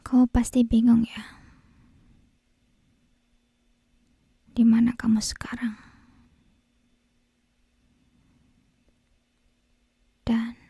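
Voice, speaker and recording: A woman playing an obsessive, possessive, lovesick kidnapper girl in an ASMR roleplay, whispering breathily and menacingly close to the microphone with lingering pauses. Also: on the high side, mellow, breathy edge, dry recording, full character voice. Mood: teasing, menacing. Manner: slow, lingering phrases broken by pauses. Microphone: close binaural microphone.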